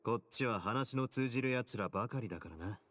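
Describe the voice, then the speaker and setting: An actor playing a cool, confident investigator's companion in a Japanese game dub, casual with faint menace. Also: male voice, medium pitch, rapid-fire, fairly bright tone, dry recording, clean studio sound, natural voice. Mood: cool, assured. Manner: casual, self-assured.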